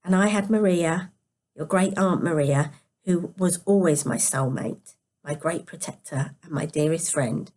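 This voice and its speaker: Woman, storyteller, somewhat low pitch, quiet, sleepy, scripted narration, careful reading. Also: unhurried. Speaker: storyteller reading a novel aloud.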